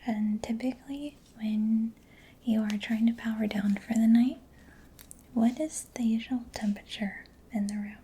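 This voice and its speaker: Whispering woman in soft ASMR roleplay. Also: fairly high pitch, steady tone. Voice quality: breathy whispering, mostly unvoiced. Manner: gentle, reassuring, unhurried close-up delivery. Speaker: ASMR roleplay performer playing a mechanic. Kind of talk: soft-spoken ASMR personal attention roleplay.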